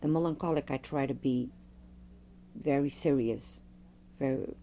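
A middle-aged woman, a Fado singer, telling stories with a strong, loud voice in an interview. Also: rumbling low, a little slow, slight background noise. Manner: animated storyteller, strong loud voice. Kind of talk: oral history interview.

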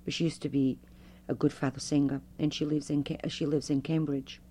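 A middle-aged woman, a Fado singer, telling stories with a strong, loud voice in an interview. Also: deep voice, a little fast, slight background noise. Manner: animated storyteller, strong loud voice. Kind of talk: oral history interview.

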